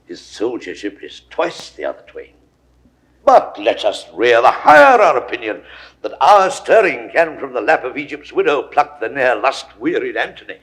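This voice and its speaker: A proud, animated Roman commander. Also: male voice, mid-range voice, normal speed, rough recording. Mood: resolute. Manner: oratorical, emphatic declaimed verse. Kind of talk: 1960s classical Shakespeare audio drama acting.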